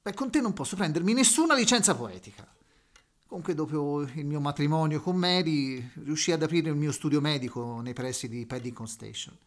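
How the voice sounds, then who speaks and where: A man's voice: on the high side, animated, reverberant, close to an everyday voice. A man voicing an elderly retired doctor who often stumbles and restarts his words. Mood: narrating. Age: older. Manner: halting, stammering restarts. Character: old Dr Watson, retired doctor, hesitant. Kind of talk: amateur audio drama.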